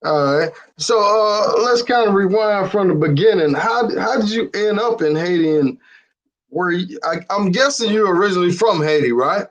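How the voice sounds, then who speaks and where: Man, livestream host, casual hesitant questions, fairly high voice, dull lo-fi audio. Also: animated, normal speed. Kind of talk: livestream interview.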